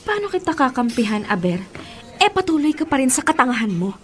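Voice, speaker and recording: A woman's voice: sits high, sing-song, fairly quick, slight background noise. A woman playing a blunt, exasperated best friend in a Filipino radio drama, scolding and incredulous. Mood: skeptical. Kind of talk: Filipino radio love-story drama acting. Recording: music bed behind the voice.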